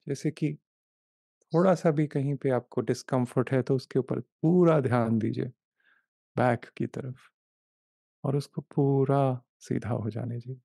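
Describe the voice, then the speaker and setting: A man leading a guided meditation, giving gentle, unhurried instructions in short repeated phrases with long pauses. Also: mid-range voice, steady tone, creaky, breathy edge, no room sound. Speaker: meditation guide, life coach.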